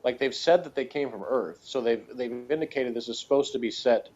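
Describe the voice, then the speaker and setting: A man with a mid-pitched voice rambles through a casual review discussion. He talks in a halting, wordy way, circling his points. The sound is a little dull and lo-fi. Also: darkish tone. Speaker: podcast co-reviewer.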